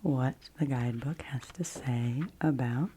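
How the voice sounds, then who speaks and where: A woman hosting ASMR in a soft, breathy voice that often drops to a whisper, speaking in a gentle, hushed, unhurried way. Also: a bass voice, slight background noise. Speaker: ASMR video host.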